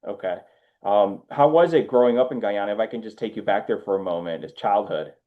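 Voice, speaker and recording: A man's voice: lowish voice, fairly quick, narrow, tinny audio. A man hosting a business show in a conversational interview style. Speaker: podcast host.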